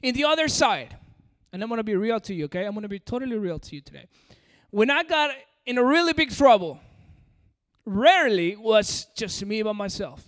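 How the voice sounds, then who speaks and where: Preacher: man from Peru with an American-sounding accent, high voice, hall reverb. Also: very animated, fairly quick, fairly bright tone. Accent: American-sounding English. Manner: direct and coaxing, addresses the audience. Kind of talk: sermon.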